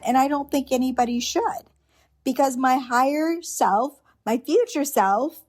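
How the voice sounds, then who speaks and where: A woman's voice: sits high, darkish tone. A middle-aged woman hosting a solo podcast, talking earnestly and unscripted, with restarts and rhetorical questions. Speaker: podcast host. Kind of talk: reflective solo podcast monologue.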